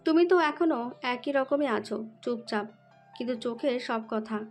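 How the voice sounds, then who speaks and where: A woman's voice: fairly high pitch, normal speed, darkish tone, faint music behind, lightly acted. A woman playing a confident young rival in love with a pointed, self-assured manner in an audio romance drama. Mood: pointed, insinuating.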